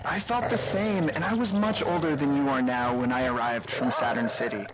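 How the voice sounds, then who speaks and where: A man playing an older, wise and patient station caretaker in a sci-fi audio drama, measured and philosophical. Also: medium pitch, noisy background, close to an everyday voice. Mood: firm, patient.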